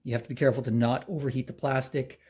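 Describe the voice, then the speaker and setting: Middle-aged male DIY host, warm and slightly raspy, plain-spoken workshop explainer. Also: mid-range voice, rapid-fire. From Canada. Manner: relaxed, plain-spoken, talks while working. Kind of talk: hands-on how-to demonstration. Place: garage workshop.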